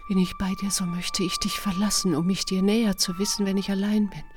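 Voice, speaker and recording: A woman's voice: medium pitch, flat delivery, brisk, natural voice. An actress playing a solemn, passionate woman in love, speaking lyrically and earnestly in a poetic radio drama. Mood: rapturous. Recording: music behind the voice.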